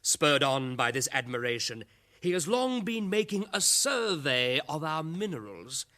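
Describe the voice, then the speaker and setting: An actor playing a fawning government minister in a satirical radio drama, formal and obsequious in manner. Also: male voice, fairly high pitch, sing-song, a little slow, bright, forceful tone, heavily acted. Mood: persuasive. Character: fawning, smooth-talking government minister courting his queen.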